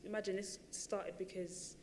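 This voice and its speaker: A woman's voice: medium pitch, flat delivery, normal speed, a little mellow, in a large hall, slight background noise. A woman and running coach telling her story at a panel talk, chatty and full of fillers and restarts. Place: large theatre stage.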